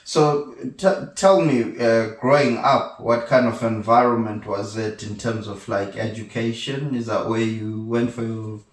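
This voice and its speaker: A man's voice: on the low side, steady tone, unhurried, few pauses. Distant, echoey male interview host. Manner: hesitant, repeats words. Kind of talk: casual interview. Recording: echoey room, distant from mic.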